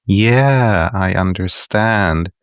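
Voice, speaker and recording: A man's voice: sits low, very slow, clear, resonant tone, no room sound, clean studio sound. A man hosting a podcast who asks short questions and gives brief acknowledgements. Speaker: podcast host. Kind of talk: interview questions.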